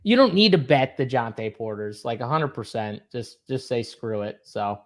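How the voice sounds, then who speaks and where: A man's voice: mid-range voice, expressive, normal speed. A man hosting a sports betting show, joking loosely and swearing casually.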